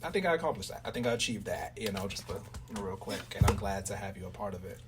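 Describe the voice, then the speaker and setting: Male, low voice, informal conversational interview, distant microphone, light background noise. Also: racing. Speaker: interviewer and radio play writer. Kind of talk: casual impromptu interview chat. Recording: noisy room, distant mic.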